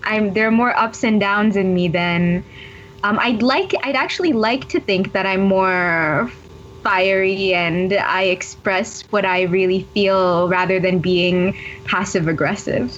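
A woman guest answering interview questions thoughtfully, pausing often with ums. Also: mid-range voice, fairly bright tone, highs cut off. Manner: thoughtful, hesitant with many ums.

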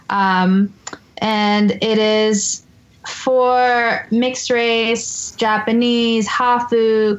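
A woman guest explains things at a steady pace in an interview. Her sound is slightly dull and lo-fi. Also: mid-range voice. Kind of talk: casual interview chat.

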